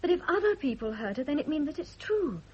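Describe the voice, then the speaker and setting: A woman's voice: sits high, sing-song, fast talker. An actress playing a distraught, loyal woman who pleads earnestly in a radio drama. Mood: pleading. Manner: earnest, beseeching. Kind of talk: 1970 radio drama acting. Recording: dull, band-limited old radio recording.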